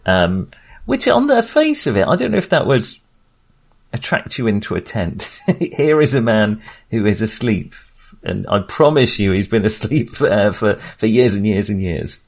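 Hesitant man with a lowish voice. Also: very animated, creaky, a little mellow. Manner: halting, thinking aloud. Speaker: podcast co-host. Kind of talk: casual film discussion.

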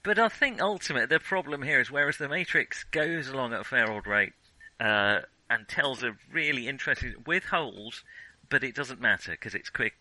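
A man's voice: medium pitch, lively intonation, fairly quick, bright, forceful tone, rough recording. A man co-hosting a casual film discussion, speaking in a hesitant way and often qualifying what he says. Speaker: film discussion podcast co-host.

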